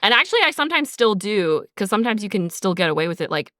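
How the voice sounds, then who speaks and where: A woman's voice: medium pitch, big pitch swings, fast talker, fairly bright tone, dry recording, clean studio sound. A woman co-hosting a podcast in an informal, encouraging way, chatting casually with lots of "like". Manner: informal, encouraging, frequent "like" and "sort of". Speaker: podcast co-host and sound designer. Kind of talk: casual conversational podcast talk.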